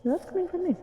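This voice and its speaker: A woman voicing a courteous, eerie fae librarian in an intimate audio roleplay, softly musing and hesitant, with an echo effect on the voice. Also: extremely high pitch. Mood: teasing, intrigued. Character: gentle, courteous, eerie fae librarian, ancient otherworldly being. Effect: echo added to voice. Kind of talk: audio roleplay, intimate one-sided dialogue to listener. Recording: echoing voice with long reverb.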